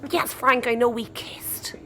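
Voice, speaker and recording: A woman's voice: fairly high pitch, level tone, measured pace, in a whisper, close to an everyday voice. A woman with an Irish accent playing a quirky, childish, defiant young crew member in an audio sitcom, petulant and rambling. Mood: fretful.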